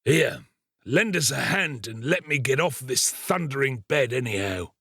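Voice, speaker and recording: Guy doing a nasty growly sick thug from a storybook, raspy, bullying, impatient, swoops around a lot. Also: medium pitch, animated, unhurried, bright, forceful tone, no echo, clean studio sound, pitched above the performer's own voice, full character voice. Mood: weak, irritable. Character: savage, ill-tempered criminal man, sick and bullying. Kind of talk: dramatised audiobook reading of a classic novel.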